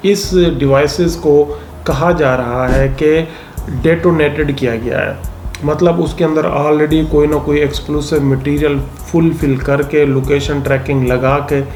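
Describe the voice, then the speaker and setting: A man with a medium-pitched voice delivers a semi-formal explainer as a host. He sprinkles English technical words through his sentences. The room is noticeably echoey, with faint noise behind him. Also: a little mellow. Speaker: YouTube host explaining current affairs.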